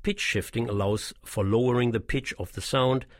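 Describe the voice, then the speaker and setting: A man reading a scripted documentary narration in a measured, explanatory way, as the guide of an audio tour. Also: medium pitch, dry recording, clean studio sound.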